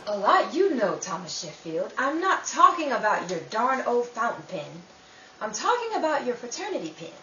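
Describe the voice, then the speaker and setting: A woman's voice: on the high side, very animated, normal speed, pushed and bright. A woman playing an anxious, sentimental housewife in a 1930s-style radio drama, earnest and pleading, easily exasperated. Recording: dull, roomy, distant, with faint noise.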